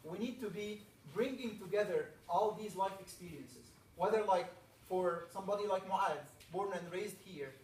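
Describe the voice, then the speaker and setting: A man from Egypt opens a camp with an earnest, rallying talk, stacking up emphatic lists. His voice sits fairly high. He is heard from some distance in an echoey hall with faint background noise. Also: moderate pace. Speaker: camp speaker. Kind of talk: opening talk to a camp audience.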